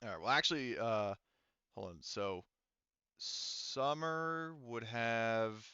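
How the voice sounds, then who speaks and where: A man's voice: medium pitch, slow pace, pushed and bright, smooth, dry recording, dull audio. A man acting as game master, casually talking through rules and thinking aloud in a hesitant way. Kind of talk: casual tabletop game refereeing, explaining rules.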